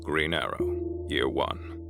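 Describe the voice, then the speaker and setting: A man's voice: very deep voice, slow, gravelly. A man reading scripted opening credits as an announcer. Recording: loud music bed behind. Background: music.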